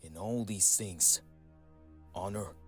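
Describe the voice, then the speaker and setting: A man's voice: low voice, slow. A man voicing a weary, solemn old ninja master with a grave, reflective manner in a motion comic. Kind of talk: motion comic dramatic monologue. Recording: loud music behind the voice.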